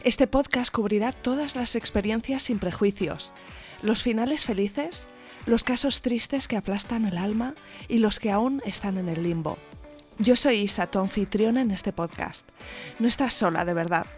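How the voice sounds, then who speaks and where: A woman's voice: medium pitch, moderate pace, faint music behind. A woman hosting a podcast, reading a scripted intro and then asking her guests questions in a friendly, enthusiastic way. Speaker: podcast host.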